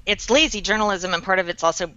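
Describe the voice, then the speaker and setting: Bright woman on a dry lo-fi line. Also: mid-range voice, quick pace, clean tone, soft background music. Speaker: guest tech journalist. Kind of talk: casual roundtable chat.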